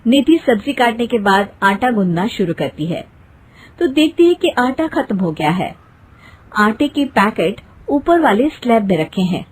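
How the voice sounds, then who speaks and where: Husky woman narrating a cartoon family story. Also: on the high side, very animated, a little slow, poor audio. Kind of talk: scripted present-tense storytelling for a cartoon.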